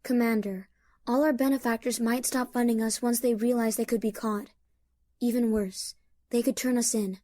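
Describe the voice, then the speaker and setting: A woman's voice: a little high, fairly even intonation, moderate pace, dark tone, no room sound, natural voice. A woman playing an anxious subordinate aide in a fan audio drama.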